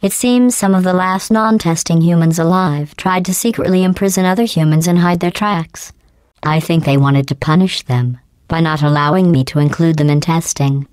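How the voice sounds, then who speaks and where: A woman voicing a cold, sarcastic female AI computer that runs test courses, speaking in a deadpan, condescending, passive-aggressive way with a smooth, synthetic-sounding voice. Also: fairly low pitch, moderate pace, dry recording, natural voice. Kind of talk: video game voice clips. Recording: dry studio recording.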